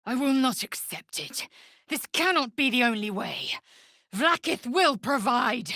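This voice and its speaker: An actress playing a fierce, proud young female alien warrior in a video game, with a husky, raspy voice and a clipped, haughty, formal manner. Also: fairly high pitch, sing-song, unhurried, bright, forceful tone, no room sound, studio quality, full character voice. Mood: defiant. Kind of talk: video game character voice acting.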